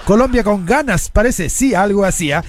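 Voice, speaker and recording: A man's voice: high-pitched, expressive, moderate pace, soft background music. A man with a Chilean accent hosting a live radio show with chatty, sing-song patter, his nose stuffed up from a cold. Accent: Chilean Spanish. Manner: chatty, sing-song, upbeat, with frequent tag questions.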